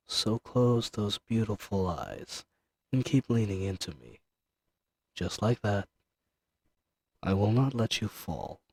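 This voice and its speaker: A man's voice: on the low side, darkish tone, a little hiss or hum. A man voicing an ancient dragon familiar in an intimate audio roleplay, speaking formally, possessive and seductive, condescending yet soothing. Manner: formal, archaic diction, soothing and teasing. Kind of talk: intimate scripted roleplay audio addressed to the listener.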